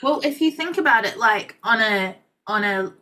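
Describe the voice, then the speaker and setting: A woman's voice: fairly high pitch, animated, moderate pace, bright, forceful tone. A woman hosting a casual podcast chat as a nail educator, explaining things in a chatty way with lots of fillers.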